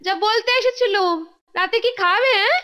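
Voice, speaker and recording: A woman playing a sharp-tongued, teasing housewife in a kids' cartoon, chiding and full of questions. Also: extremely high pitch, moderate pace, faint music behind. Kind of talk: Bengali kids' cartoon voice acting.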